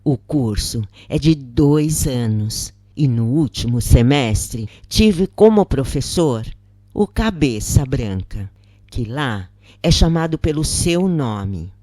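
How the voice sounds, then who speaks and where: A narrator reading a scripted first-person account in measured phrases with pauses. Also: mid-range voice, expressive, mellow, no room sound, studio quality.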